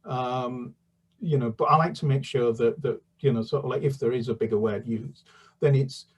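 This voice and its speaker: Hesitant man, slightly husky. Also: mid-range voice, fairly even intonation, moderate pace, recorded from a distance, low-quality recording. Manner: hesitant, rambling. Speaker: children's author. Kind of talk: conversational interview answers.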